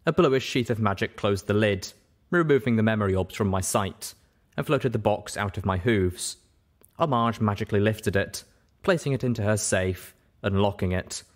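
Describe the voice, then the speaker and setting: A man narrating a story in an expressive audiobook style. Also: lowish voice, normal speed.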